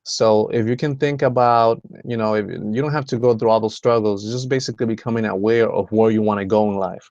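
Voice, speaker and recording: A man's voice: fairly low pitch, fairly even intonation, a little fast, few pauses, a little mellow, no echo, lo-fi sound. A man from the Dominican Republic hosting a motivational video call, talking in a rambling way with many fillers and restarts. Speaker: host.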